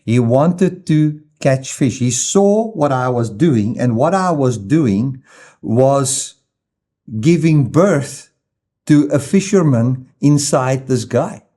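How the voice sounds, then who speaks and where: A man's voice: mid-range voice, animated, takes it slowly, mellow. A middle-aged man with a South African accent, preaching informally in a reflective, conversational way with rhetorical questions and personal stories. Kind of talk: informal sermon with personal anecdotes.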